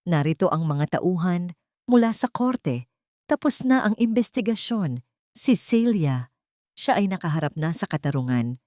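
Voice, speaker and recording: Woman acting a hushed, remorseful rich man. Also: on the low side, animated, a little slow, no echo, clean studio sound. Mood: subdued, remorseful. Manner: hushed, sorrowful.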